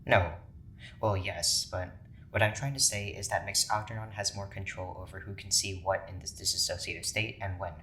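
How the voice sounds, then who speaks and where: An actor playing a stern, analytical scientist in charge, speaking in a measured clinical way in an audio drama. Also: male voice, steady tone, pushed and bright, low-quality recording. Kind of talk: naturalistic audio drama acting. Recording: clear background noise with rumble.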